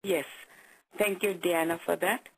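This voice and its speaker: A woman from Kenya telling her own story as a guest on a business call, pausing often with hesitations. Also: on the low side, fairly even intonation, husky, poor audio. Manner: hesitant, with frequent "uh" pauses. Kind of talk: personal story.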